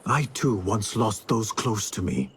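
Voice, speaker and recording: An actor voicing a stealthy assassin who speaks in a measured, quiet, resolute way. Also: male voice, lowish voice, expressive, a little hiss or hum. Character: stealthy hooded assassin, calm and determined.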